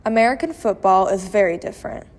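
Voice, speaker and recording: A woman's voice: medium pitch, fast talker, darkish tone. Teen girl reading a news feature. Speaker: student reporter. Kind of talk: scripted news feature narration.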